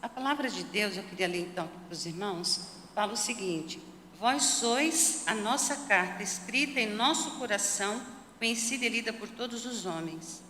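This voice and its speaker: A woman leading a church Bible lesson, her voice bright and forceful as she asks one searching question after another. The sound echoes through a roomy church hall with a long tail and a faint hiss. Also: medium pitch, expressive, normal speed. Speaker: Sabbath school teacher. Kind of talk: Bible study discussion.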